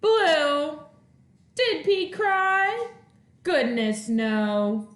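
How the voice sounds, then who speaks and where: Female primary teacher, high-pitched, expressive storytime read-aloud for little kids, echoey home room. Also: very slow. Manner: animated, expressive, sing-song delivery. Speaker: first-grade teacher. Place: indoors at home. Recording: roomy home recording with echo.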